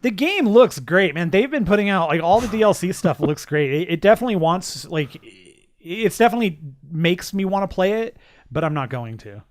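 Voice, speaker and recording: A man's voice: sits high, fairly quick, no room sound. A young man co-hosting a podcast in casual, jokey chat, close to the mic. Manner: jokey, playful, lively. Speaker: podcast co-host.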